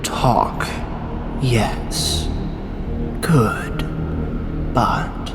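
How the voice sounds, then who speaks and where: An actor playing a cautious, menacing male conspirator in a fantasy audio drama, speaking in weighty pauses. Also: medium pitch, very noisy. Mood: brooding, menacing. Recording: music under the dialogue.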